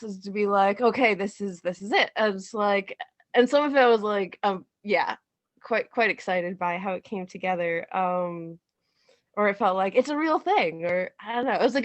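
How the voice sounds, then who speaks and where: A woman giving a casual interview as a playwright, speaking haltingly and thinking aloud. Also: mid-range voice, dry recording. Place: remote video call.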